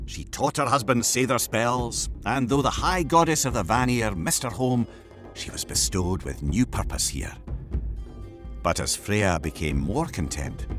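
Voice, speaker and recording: A man narrating a scripted mythological tale in a theatrical, emphatic, dramatic storytelling style. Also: on the low side. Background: background music.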